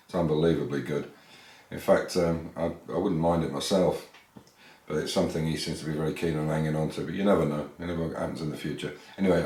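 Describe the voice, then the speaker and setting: A man's voice: a bass voice, a little mellow, reverberant. An English man hosting a casual car restoration vlog, rambling through his workshop with restarts and fillers. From England. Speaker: classic car restorer and channel host. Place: garage workshop.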